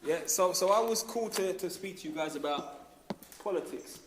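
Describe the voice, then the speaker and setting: A man's voice: high voice, moderate pace, noisy background. A man giving an informal talk to young people, explaining things in casual street slang. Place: large hall. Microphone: distant recorder. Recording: echoey hall from far off.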